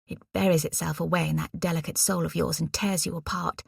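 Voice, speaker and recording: A woman's voice: low voice, steady tone, vocal fry, breathy edge, no room sound, clean studio sound, full character voice. A woman doing a bubbly, teasing young wartime nurse. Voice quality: brisk, teasing, exuberant.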